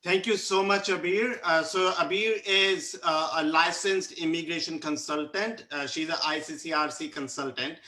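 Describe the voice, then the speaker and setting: A man's voice: a little high, moderate pace, few pauses, sharp tone. A man hosting a company webinar, speaking hesitantly with many fillers and restarts. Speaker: webinar host. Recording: echoey room.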